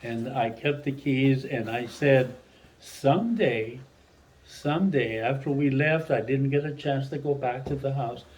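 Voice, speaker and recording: An older man from Mexico whose first language is Spanish, a retired teacher telling a long childhood story to his family in a rambling, animated way. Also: medium pitch, a little slow, low-quality recording. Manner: rambling, animated storyteller who restarts sentences.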